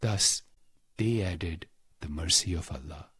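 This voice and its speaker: A man with a husky, breathy voice guides a scripted meditation in short, deliberate phrases, solemn and devotional. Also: sits low, fairly bright tone. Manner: slow, deliberate, pausing between short phrases.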